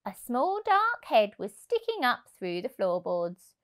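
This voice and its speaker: Female storybook narrator, thirties, higher-pitched, very lively intonation, deliberate delivery for young children. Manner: expressive, deliberate. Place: indoor room, on a sofa. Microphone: lapel mic.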